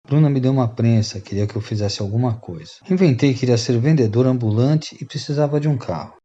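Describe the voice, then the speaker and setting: A man acts out a reformed Brazilian freeloader confessing to old friends in a radio play. His voice is dark and mellow. The recording is slightly dull and lo-fi. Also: medium pitch, quick pace, close to an everyday voice. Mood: confessional. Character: repentant rogue and former freeloader confessing to friends. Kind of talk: radio drama storytelling.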